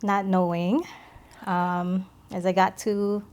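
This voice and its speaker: A woman from the Philippines, middle-aged, sharing a personal faith testimony as a podcast guest, speaking hesitantly with many pauses. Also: mid-range voice, level tone, a little mellow.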